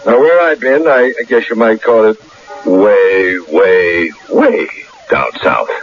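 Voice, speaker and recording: A genial stranger with a faintly sinister slyness in a radio play. His voice is on the low side, courtly and deliberate. The sound is dull and lo-fi, with faint music behind. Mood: genial, sly. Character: genial, sly red-faced lawyer stranger, faintly sinister. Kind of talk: old-time radio drama acting.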